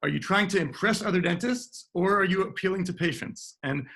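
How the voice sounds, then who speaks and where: A man, a dentist, talking casually on a panel with a scratchy voice, often clearing his throat as he agrees and explains. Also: sits high, brisk, a bit bright.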